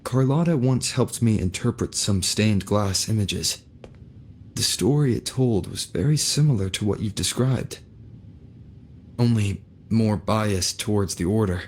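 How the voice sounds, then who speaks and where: Earnest young wanderer for a video game, male actor. Fairly low, serious, thoughtful delivery over faint background ambience. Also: a little mellow, slight background noise, natural voice. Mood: pensive, serious. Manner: measured, serious, reasoning aloud.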